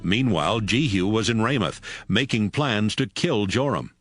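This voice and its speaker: A man's voice: lowish voice, lively intonation, moderate pace, fairly bright tone, no echo, studio quality. A man reading scripted narration for a dramatized audio Bible. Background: music.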